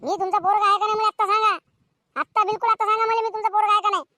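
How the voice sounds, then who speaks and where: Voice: extremely high pitch, level tone, very fast, pushed and bright. A performer voicing a bratty, defiant village schoolboy in a comedic cartoon, whining and boasting in rural Maharashtrian Marathi. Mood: demanding. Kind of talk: comedic cartoon skit dialogue.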